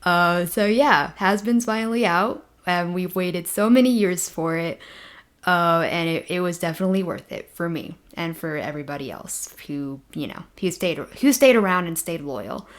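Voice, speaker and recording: A woman's voice: fairly low pitch, expressive, moderate pace. A woman hosting her own channel, chatting casually with friends, with lots of ums and easy laughter. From Mexico. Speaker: channel host. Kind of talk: casual show discussion with friends.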